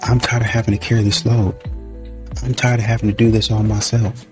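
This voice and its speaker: A man's voice: fairly low pitch, level tone, fairly quick, a little mellow. A man giving casual, off-the-cuff commentary in a conversational way. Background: music.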